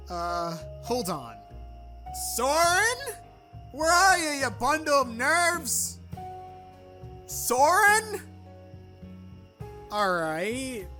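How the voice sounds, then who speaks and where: A man's voice: high-pitched, exaggerated voice. A man voicing a wisecracking, impatient skeleton in an audio drama, grumbling and sarcastic in a folksy way. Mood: nervous bravado. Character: wisecracking, impatient male skeleton, talking head. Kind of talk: audio drama character acting.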